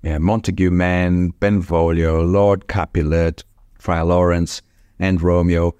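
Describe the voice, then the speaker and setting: A man, a former ballet dancer who now teaches, talking in a reflective conversation, halting often and restarting his sentences. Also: deep voice, level tone, dark tone, clear, resonant tone, dry recording, dull audio. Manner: halting, with frequent restarts and laughs.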